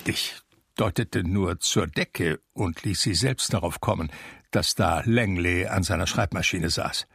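A man acting the narrator of a radio drama, a reflective blind man telling his memories in a measured, wry, gravelly voice. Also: sits low, fairly bright tone, dry recording, studio quality, heavily acted. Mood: reflective, observant.

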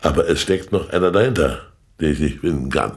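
An actor playing a shrewd, blunt state councillor in a radio play, gruff and sardonic. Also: male voice, a bass voice, fairly quick. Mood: gruff, knowing.

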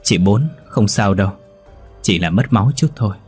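A man voicing a kindly older healer who reassures and gently admonishes. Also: on the low side, moderate pace, slightly rough, soft background music. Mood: calm. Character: older male healer-priest, kindly and wise.

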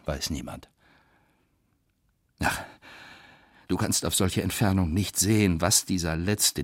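Husky, deep-voiced brooding novelist. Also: male voice, dry recording, studio quality. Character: weary bitter male novelist dictating letters and reading his novel. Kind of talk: literary radio play reading.

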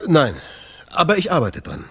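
An actor playing an edgy jazz club owner under pressure, terse, nervous and informal in a radio drama. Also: male voice, a little high, huge pitch swings, moderate pace, creaky, slight background noise, exaggerated voice. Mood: guarded, wry.

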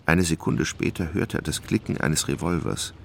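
A man narrating a literary radio drama in a measured, dramatic way. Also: extremely low pitch, gravelly, slight background noise.

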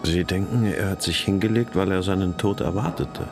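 A man's voice: low voice, moderate pace, creaky. A man playing a blunt, insistent police detective in a radio crime drama, doggedly putting questions. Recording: music behind the voice.

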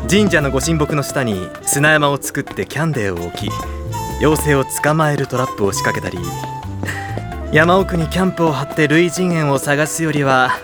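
A man playing a courteous, unflappable high school boy who philosophizes formally in an anime audio drama. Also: lively intonation, normal speed, over music, lightly acted. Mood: amused, genial. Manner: courteous, smooth-spoken, formal.

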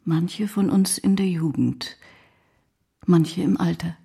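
A grave, gentle headmaster's wife in a radio play. Her low voice is very breathy and creaky, dark in tone. She speaks solemnly and hesitantly, often half-whispering. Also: slow, full character voice. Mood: solemn, careful. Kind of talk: literary radio play acting.

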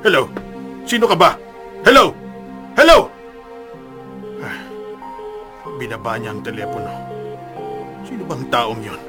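Forceful, hot-tempered father. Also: mid-range voice, wildly swooping pitch, unhurried, long pauses, faint music behind, full character voice. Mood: angry, accusing. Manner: confrontational, demanding. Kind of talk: radio drama acting.

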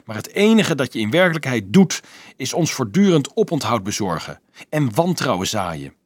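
A man voicing a possessive, quick-tempered boy leader in a storybook, indignant and challenging. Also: medium pitch, very animated, slightly rough, no room sound, clean studio sound. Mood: exasperated anger.

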